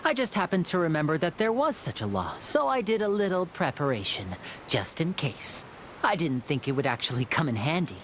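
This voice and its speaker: A woman's voice: sits low, sing-song, a little fast, slight background noise. A woman playing a suave, courteous young male stage magician in a video game, with a polished showman's manner. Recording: game music behind.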